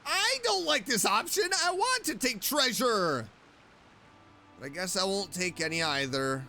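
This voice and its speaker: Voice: mid-range voice, big pitch swings, measured pace, sharp tone. A streamer giving casual, playful commentary while playing a video game and reacting to it. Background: game music.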